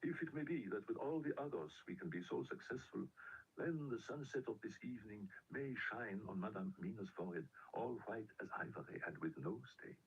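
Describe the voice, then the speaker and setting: A man voicing a solemn, fatherly older professor in an audiobook, devout, measured and tender. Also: on the low side, animated, voice pushed down. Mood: solemn tenderness. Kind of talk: audiobook character voice. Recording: dull lo-fi, clearly noisy audio.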